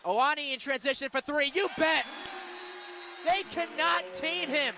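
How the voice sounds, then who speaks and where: A man doing live radio play-by-play of basketball, excitable and breaking into shouts on big plays. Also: very high voice, measured pace, noisy background. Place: indoor college basketball arena.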